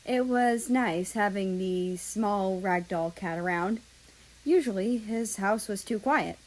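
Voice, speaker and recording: A woman's voice: medium pitch, takes it slowly, poor audio. A woman reading a third-person fan fiction story aloud as a narrator.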